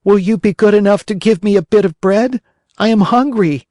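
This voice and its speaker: Male narrator doing a high, whining puppet boy, weepy storybook role. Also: moderate pace, warm tone, no room sound, natural voice. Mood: pleading. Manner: high-pitched, whining, sobbing pleas. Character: wooden boy puppet, young, tearful, wailing.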